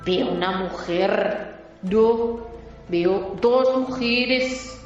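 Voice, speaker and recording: A woman's voice: a little high, muffled recording. A woman playing a mystical fortune-teller with solemn, dramatic delivery in a parody radio soap. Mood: solemn, prophetic. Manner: slow, portentous, dramatic delivery. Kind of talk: absurd parody radio soap opera acting. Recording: heavily echoing, distant, music behind.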